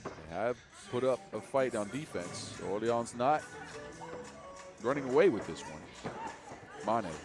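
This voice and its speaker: A man with a Dutch accent giving fast live basketball play-by-play, his energy rising with each play. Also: medium pitch. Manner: energetic, rising with the action, self-mocking asides. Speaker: play-by-play commentator. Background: music.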